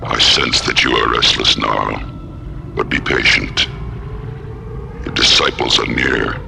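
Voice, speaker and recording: A man voicing an ominous, solemn alien commander with a gravelly voice in a science fiction radio drama. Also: rumbling low, fairly even intonation, slow pace, over music, exaggerated voice. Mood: solemn, menacing. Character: ominous, patient alien commander of an insect ship.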